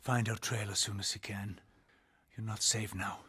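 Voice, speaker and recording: A man with an Icelandic accent playing a grave, weary Norse villager in a video game, with a husky, breathy voice. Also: lowish voice, steady tone, moderate pace, pushed and bright, exaggerated voice. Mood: grave, wary. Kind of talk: dramatic video game voice acting.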